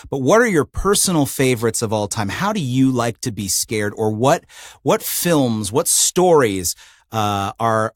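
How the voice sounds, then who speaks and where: Male podcast interviewer, casual, animated intonation, mid pitch, very dry recording. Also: dry recording. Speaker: podcast host interviewing a guest.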